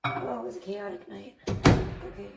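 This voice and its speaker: A woman live streamer, hoarse and losing her voice, chatting bubbly and rambling with her viewers while making tea in her kitchen. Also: medium pitch, fairly even intonation, normal speed, loud music behind the voice. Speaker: live streamer greeting chat. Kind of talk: casual live stream chat.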